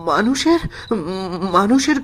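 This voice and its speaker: Terrified villager played by a woman, slow, stammering, very animated pitch, cartoon horror. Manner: halting, stammering. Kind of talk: Bengali cartoon horror story acting.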